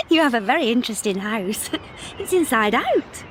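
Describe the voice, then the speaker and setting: A woman's voice: on the high side, sing-song, a little slow, a little hiss or hum. An actress playing a curious, affectionate young Englishwoman in a radio comedy drama, light and playfully questioning.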